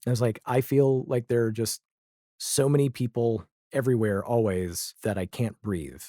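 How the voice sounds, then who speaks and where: A man talking casually as a podcast guest, animated and rambling, with a self-deprecating streak. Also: medium pitch, normal speed, no room sound, clean studio sound. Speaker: podcast guest, audio drama creator. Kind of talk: casual podcast interview chat.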